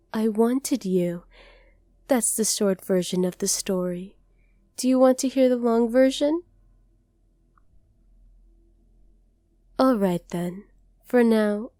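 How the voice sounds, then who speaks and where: A woman voicing a haughty, possessive dragoness captor in an ASMR roleplay, speaking to the listener in a condescending, deliberate way. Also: mid-range voice, warm tone, dry recording, studio quality, natural voice. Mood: smug, stern.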